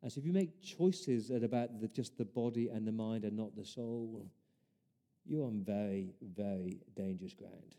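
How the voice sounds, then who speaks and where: A man's voice: on the low side, normal speed, dark tone. A man preaching a sermon as pastor, earnest, building his points through repeated rhetorical questions.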